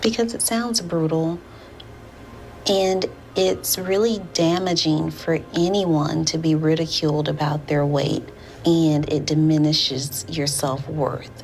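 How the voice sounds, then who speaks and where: A woman speaking as a therapist in a session, with deliberate, formal phrasing. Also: sits low, steady tone, darkish tone, rough recording. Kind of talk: measured counseling session talk. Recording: clear background room noise.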